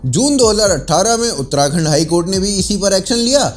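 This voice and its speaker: A man's voice: high voice, lively intonation, fairly quick. A man reading scripted news narration.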